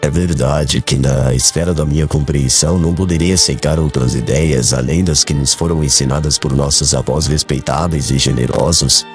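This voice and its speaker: Man acting a dignified guardian, extremely deep voice. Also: fairly even intonation, moderate pace, few pauses, soft background music. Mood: calm, conciliatory. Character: calm, tolerant, dignified male guardian of a household. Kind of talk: dramatized audiobook dialogue.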